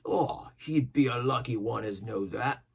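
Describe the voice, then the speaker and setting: A man's voice: mid-range voice, measured pace, vocal fry, darkish tone, slightly rough. A man voicing a surly, contemptuous buccaneer crewman with a growl in a storybook reading.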